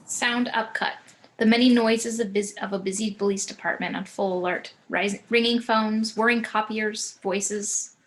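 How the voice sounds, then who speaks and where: A woman's voice: mid-range voice, steady tone, normal speed, a bit bright, poor audio. A woman narrating scene directions for a screenplay table read, occasionally stumbling over words.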